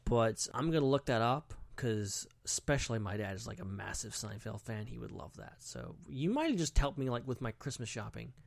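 A man's voice: mid-range voice, expressive, normal speed, creaky, hardly any pauses, no room sound. A man co-hosting a podcast in casual chat, talking informally in loose fragments peppered with "like". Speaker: podcast co-host.

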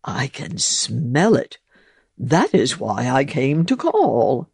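A woman puts on a greedy, unbothered storybook toad who croons his words in a lazy sing-song. The voice sits low with a gravelly edge and swoops about lazily. It is close and dry, with no room around it. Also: very animated, slow pace, a little mellow, no echo, exaggerated voice. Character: greedy, easygoing male toad with a lilting call.

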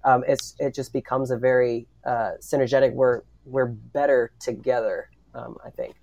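Man talking haltingly on a panel. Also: medium pitch, level tone, vocal fry, a little mellow, rough recording. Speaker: audio drama writer and panelist. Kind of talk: casual panel discussion.

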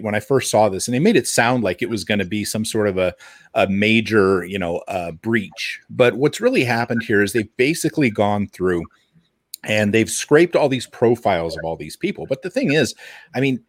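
A man's voice: fairly low pitch, dry recording, clean studio sound. A man co-hosting a podcast, giving casual, explanatory commentary in a conversational way.

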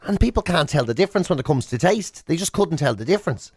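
An Irish man with a high voice hosts a comic radio show. He banters playfully and acts out imagined remarks, recorded close in a very dry studio. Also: animated, fast, darkish tone, husky, dry recording. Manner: jokey, acts out imagined lines.

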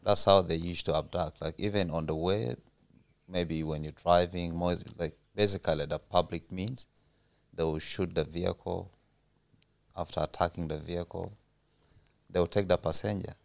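A man from Uganda answers interview questions softly and hesitantly. His voice is very deep and gravelly, with a lot of fry. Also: fairly even intonation, normal speed. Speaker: interview guest.

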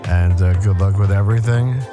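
A man's voice: fairly low pitch, a little fast, music in the background. A male host asking rambling, slangy questions in a laid-back casual interview.

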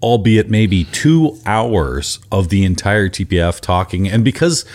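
A man's voice: on the low side, lively intonation, unhurried, darkish tone. A man in his fifties co-hosting a podcast, chatting casually and teasing his co-host with dry jokes. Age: 50s. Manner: joking, teasing.

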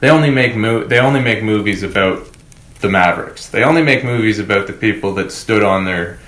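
A man's voice: lowish voice, brisk, a bit bright. A man hosting a podcast, giving opinions casually in an emphatic, sardonic way. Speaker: podcast host. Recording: roomy, echoing podcast recording.

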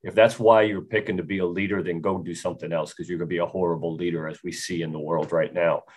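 Deep-voiced american guy, sixties, ex army officer, firm and earnest, repeats his points. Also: steady tone, fast, breathy edge. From United States. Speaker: guest, retired army officer and author. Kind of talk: podcast interview.

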